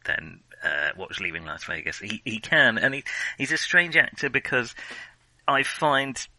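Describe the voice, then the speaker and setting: A man co-hosting a film podcast, talking casually and thinking aloud with frequent hesitant pauses. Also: mid-range voice, sharp tone, no echo, poor audio. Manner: hesitant, thinking aloud, pausing often mid-sentence.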